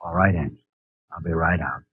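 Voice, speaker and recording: An actor playing a calm, earnest young male doctor in 1950s radio acting. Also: low voice, quick pace, breathy edge. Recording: tinny old radio recording with music.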